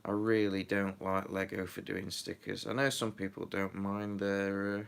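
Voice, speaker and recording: A man's voice: low voice, flat delivery, normal speed, gravelly, clean tone. A man chatting casually on a live stream while building, rambling with lots of fillers and muttering to himself, a little stuffy from a runny nose.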